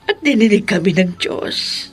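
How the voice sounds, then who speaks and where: A woman playing a remorseful older mother-in-law in a Filipino radio drama, with halting, emotional delivery. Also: medium pitch, animated, faint music behind, natural voice. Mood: remorseful, pleading.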